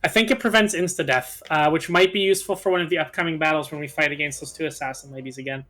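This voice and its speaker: Game commentator, mid-pitched voice, matter-of-fact explainer among friends, game music underneath. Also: fairly even intonation, fast talker, a bit bright. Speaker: gaming commentator and player. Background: video game music.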